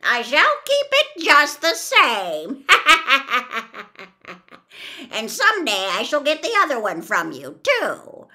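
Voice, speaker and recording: A woman voicing a wicked, cunning old witch from a storybook, with a theatrical, drawn-out delivery. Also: high voice, wildly swooping pitch, pushed and bright, pitched above the performer's own voice, full character voice. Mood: gloating, defiant. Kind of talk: dramatic storybook character voice.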